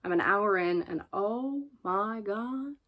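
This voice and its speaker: A woman's voice: medium pitch, expressive, takes it slowly, fairly bright tone. A young Irish woman in her twenties talks casually to camera about books she is reading. She is earnest and chatty, leans on repeated words for emphasis, and laughs easily between thoughts. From Ireland. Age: 20s. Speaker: book vlogger. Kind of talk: casual book chat to camera.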